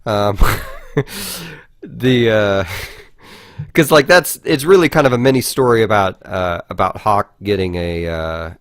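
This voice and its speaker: A man co-hosting a podcast, casually discussing video games and haltingly thinking aloud, with some swearing. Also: lowish voice, expressive, fairly bright tone, no room sound, studio quality. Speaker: podcast host.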